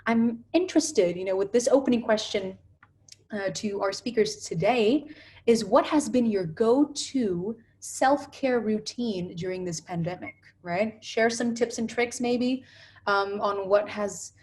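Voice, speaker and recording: A woman's voice: mid-range voice, unhurried, darkish tone. A woman hosting a youth panel over a video call, upbeat and peppering her talk with "you know" and "right?". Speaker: host.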